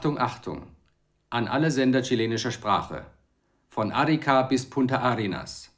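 An actor playing a formal radio announcer in a radio drama, proclaiming an official broadcast notice. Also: male voice, medium pitch, animated, normal speed, music in the background, close to an everyday voice. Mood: urgent, official.

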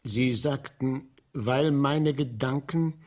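An actor playing a timid, deferential inspector in a radio play, answering briefly and obediently. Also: male voice, mid-range voice, slow, darkish tone, faint music behind, close to an everyday voice. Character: deferential newly appointed male inspector, a timid guest. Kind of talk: 1970s German radio play acting.

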